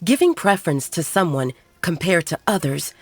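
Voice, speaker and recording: A woman reading a romance story aloud as a calm, even narrator. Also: on the low side, normal speed, dry recording. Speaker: audiobook narrator.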